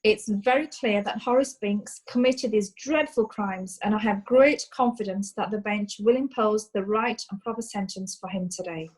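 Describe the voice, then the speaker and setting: A woman's voice: medium pitch, moderate pace, few pauses, a little mellow, lightly acted, low-quality recording. A woman playing a confident, formal prosecutor addressing the bench in a courtroom play. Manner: formal, assured. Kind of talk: courtroom stage play acting.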